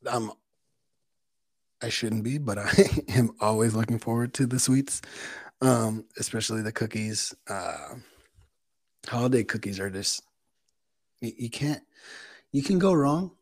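A man co-hosting a casual chat, talking in a halting, unhurried way. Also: medium pitch, no room sound. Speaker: podcast co-host.

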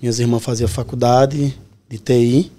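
Podcast guest, 30s male, Pernambuco accent, mellow and earnest. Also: mid-range voice, level tone, moderate pace. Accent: Pernambuco Brazilian Portuguese. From Pernambuco, Brazil. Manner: earnest, explains his story step by step. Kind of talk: casual podcast interview.

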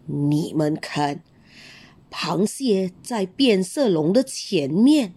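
Woman doing a bear teacher, grumpy, exasperated, low, dragging. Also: mellow, heavily acted. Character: grumpy slow-talking male bear teacher.

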